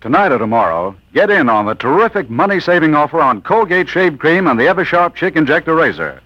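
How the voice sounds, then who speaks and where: A man's voice: fairly high pitch, very animated, fairly quick, no room sound. An American man announcing a 1940s radio commercial with polished, emphatic salesmanship. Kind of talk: 1940s radio advertising read. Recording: dull vintage radio broadcast.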